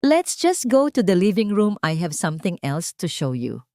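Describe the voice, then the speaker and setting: A woman voicing a haughty young fiancée with a shrill, quick, sneering voice. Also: very animated, a little mellow, dry recording, clean studio sound. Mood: coaxing. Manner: sneering, suspicious.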